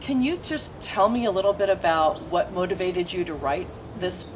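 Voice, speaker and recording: A woman's voice: on the low side, animated, moderate pace, background noise. A woman hosting a conversational interview in an enthusiastic manner, introducing her guest and asking questions. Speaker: interviewer, host.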